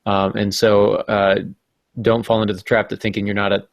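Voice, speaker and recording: A man's voice: fairly low pitch, little pitch movement, moderate pace, creaky, no echo. A man hosting a podcast, talking informally and jokily as he gives writing advice. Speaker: podcast host.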